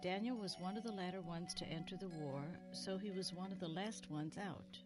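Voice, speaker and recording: A woman playing a kindly elderly retired librarian in an audio drama, reminiscing gently and sorrowfully. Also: on the low side, normal speed, close to an everyday voice. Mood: wistful, sad. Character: kindly, wistful elderly female retired small-town librarian. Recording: music under the voice. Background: music.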